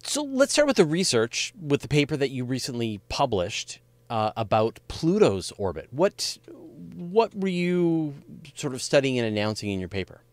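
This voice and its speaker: Middle-aged man with lively, swooping intonation. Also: medium pitch, moderate pace, no room sound. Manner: informal, curious. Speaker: interview host. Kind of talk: conversational interview. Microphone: close desk microphone, video call.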